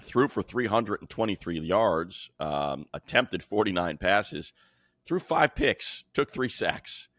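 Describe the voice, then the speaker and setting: A man with a deep voice talks football as a podcast co-host. His delivery is conversational and colloquial, like an analyst chatting with a colleague. The sound is dry, with very little room on it. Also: very animated, takes it slowly, a bit bright, dry recording. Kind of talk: casual sports talk.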